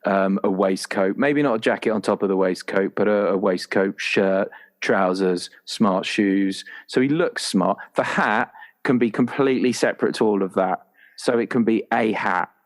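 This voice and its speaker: A man's voice: lowish voice, normal speed. A man chatting casually and playfully as a roleplaying player, sometimes slipping into imagined dialogue. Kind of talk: casual chat, inventing a character.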